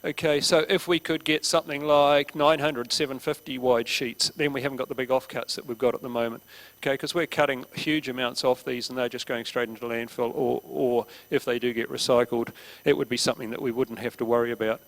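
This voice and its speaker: A man's voice: medium pitch, little pitch movement, a little fast, a bit bright, rough recording. Plain-spoken man at a seminar. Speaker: seminar speaker. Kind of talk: casual seminar talk.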